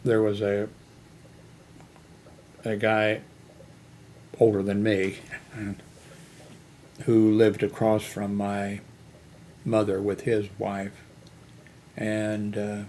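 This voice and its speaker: Slow, rambling man in his eighties. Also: on the low side, monotone, darkish tone, a little hiss or hum. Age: 80s. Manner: meandering, many fillers and restarts. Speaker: channel host. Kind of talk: rambling personal monologue.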